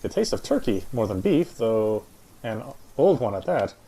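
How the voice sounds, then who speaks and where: A man's voice: fairly low pitch, sing-song, moderate pace, creaky, mellow. A man reading first-person visual novel narration aloud.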